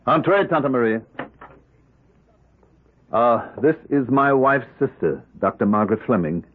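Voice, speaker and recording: A man's voice: medium pitch, animated, a little slow, noisy background, natural voice. An actor playing a commanding, wealthy man devoted to his dying wife, speaking with earnest intensity in an old radio drama. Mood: formal, controlled. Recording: muffled, band-limited vintage radio recording.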